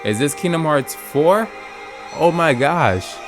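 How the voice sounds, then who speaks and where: A man's voice: on the high side, animated, slow pace. A man giving a casual, slangy, exclamatory live reaction as he streams game trailers. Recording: music behind the voice. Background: game trailer music.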